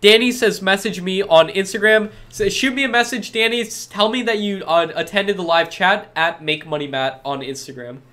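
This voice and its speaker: A man's voice: sits high, moderate pace, hardly any pauses, bright, forceful tone. A young man with a stuffed-up cold, reading chat questions aloud and answering them in an upbeat, salesy way during a live stream from a small room. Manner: upbeat, repetitive salesy reassurances. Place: small room with lit backdrop.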